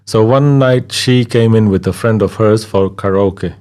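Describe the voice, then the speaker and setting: Man, from Kenya, 30s, lowish pitch, relaxed, hesitant, casual interview. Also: measured pace. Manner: relaxed, hesitant with many fillers, laughs easily. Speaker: guest, DJ.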